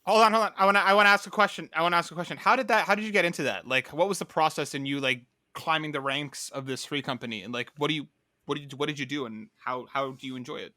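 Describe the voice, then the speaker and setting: A man's voice: a little high, fast talker, pushed and bright, no room sound, clean studio sound. A man co-hosting a podcast, speaking in casual, informal chat. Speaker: podcast co-host.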